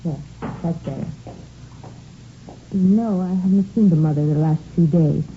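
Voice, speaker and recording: An actress playing an earnest, concerned household cook with a French accent, speaking carefully and politely in a 1950s radio drama. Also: female voice, fairly low pitch, measured pace. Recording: muffled, noisy vintage radio recording.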